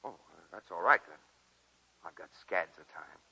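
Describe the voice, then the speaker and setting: An actor playing a plainspoken, teasing, devoted small-town grocer in a 1941 radio drama, with a folksy manner. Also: male voice, low voice, lively intonation, moderate pace, bright, forceful tone, breathy edge, dull audio, full character voice. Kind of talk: 1940s radio drama acting. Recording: 1941 radio broadcast recording.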